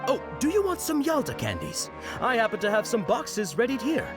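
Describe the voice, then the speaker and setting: High-voiced, jovial showman knight. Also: huge pitch swings, moderate pace, music in the background, heavily acted. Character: cheery gallant costumed knight entertaining children. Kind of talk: video game voice acting.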